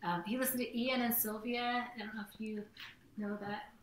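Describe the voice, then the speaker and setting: A woman's voice: on the high side, level tone, moderate pace, reverberant, slight background noise. A middle-aged woman, a singer-songwriter, chatting on a video call in a rambling casual way. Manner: chatty, rambling, says "like" often. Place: home room with green wall.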